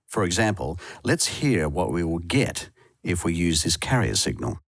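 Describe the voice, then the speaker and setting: A man's voice: sits low, sing-song, moderate pace, no room sound. A man presenting a tutorial in scripted instructional narration, recorded as a close, dry voice-over. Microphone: close dry voice-over microphone.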